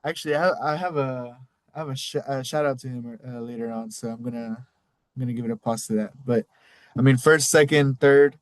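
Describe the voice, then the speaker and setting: Man, Mexican accent, solo podcast host, loose rambling delivery, mid pitch, close dry mic. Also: brisk, clear, resonant tone, dry recording. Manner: rambling, loose, cusses casually. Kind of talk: casual solo recap talk.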